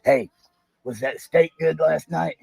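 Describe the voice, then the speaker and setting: Gravelly guy talking soft and teasing to someone, voice kinda high, no echo. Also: measured pace. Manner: gentle, soothing, teasing questions. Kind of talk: coaxing talk to someone nearby.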